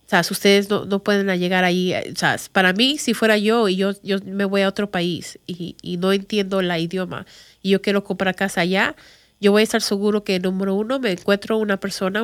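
A woman from Chicago with an American accent, a native English speaker, giving an informal, conversational explainer as a real estate agent and mixing in English words and phrases. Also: mid-range voice. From Chicago, United States. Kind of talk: informal explainer talk to viewers.

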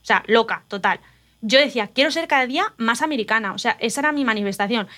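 A woman from Spain, telling personal stories in an animated, confiding casual chat. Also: fairly high pitch, fast.

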